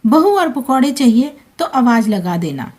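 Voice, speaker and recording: A woman voicing a selfless, soft-hearted elderly mother-in-law in a family story, with an accommodating, soothing manner. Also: fairly high pitch, very animated, unhurried. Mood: gentle, accommodating.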